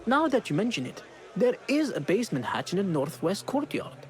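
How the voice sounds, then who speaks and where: A man's voice: high voice, sing-song, brisk. A man playing a chatty, obliging townsman in a video game. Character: chatty, obliging townsman, carpet seller's son.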